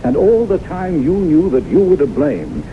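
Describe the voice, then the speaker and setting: An actor playing an earnest, persuasive doctor and devoted lover, with emphatic, deliberate phrasing in 1970s radio drama style. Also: male voice, fairly high pitch, huge pitch swings. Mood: probing. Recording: noisy, muffled old radio broadcast recording.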